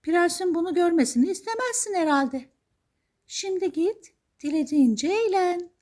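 Woman voicing a high-pitched kindly fairy godmother. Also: animated, normal speed, darkish tone. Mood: gently warning. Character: kindly, brisk fairy godmother, magical and commanding.

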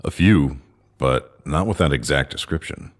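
A man playing a knowing, commanding space explorer in a sci-fi audio drama, terse and deliberate. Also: extremely low pitch. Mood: grave, inquiring.

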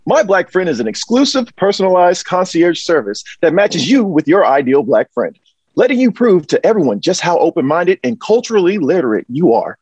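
Slick upbeat concierge male actor, highish husky voice, very animated delivery, dry close sound. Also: brisk, dry recording, full character voice. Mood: salesy. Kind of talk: comedic sales pitch, table read. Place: indoor office or storeroom. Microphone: wireless earbuds, video call.

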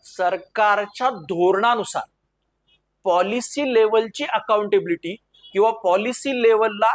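A man lecturing in an explanatory way, mixing in English terms and slowing down emphatically for key phrases and quotations. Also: high voice. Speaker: civil services exam lecturer.

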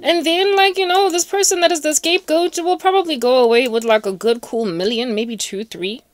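A woman's voice: high voice, steady tone, fairly quick, no echo. Sarcastic woman vlogger. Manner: sarcastic, mocking, acts out voices. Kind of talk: animated monologue to camera.

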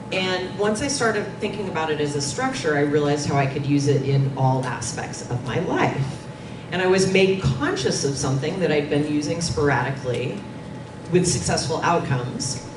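Female panel presenter, middle-aged, conversational and halting, roomy sound with clear background noise. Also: sits low. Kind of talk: informal conference presentation. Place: dim conference meeting room. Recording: distant, echoey, noisy room recording.

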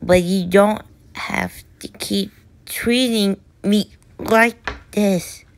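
A woman's voice: medium pitch, very slow. Woman as an earnest, hurt little cartoon boy. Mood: pleading.

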